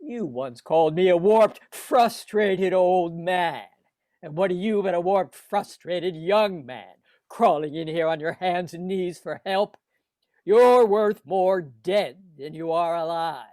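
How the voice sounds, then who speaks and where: A man playing a cruel, contemptuous old rich businessman in a radio play, speaking slowly and deliberately. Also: high-pitched, mellow, dry recording. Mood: gloating, scornful. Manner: contemptuous, gloating, slow and deliberate. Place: home recording over video call.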